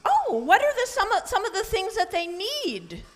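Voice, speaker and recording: A woman's voice: very high voice, wildly swooping pitch, normal speed. A woman hosting a live family event, announcing in an exclamatory way. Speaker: event host. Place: social hall, live.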